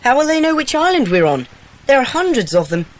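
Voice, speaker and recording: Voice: high voice, very animated, fairly quick. An actor playing an earnest, practical British boy in a dramatised children's adventure audiobook, with a well-spoken manner. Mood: serious, worried.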